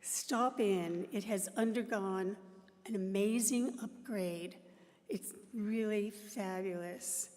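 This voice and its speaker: Slow-spoken woman leading a service. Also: mid-range voice, a little mellow. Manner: slow, earnest storytelling. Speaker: worship leader. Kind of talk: reflective talk at a service. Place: church sanctuary. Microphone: microphone. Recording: reverberant hall.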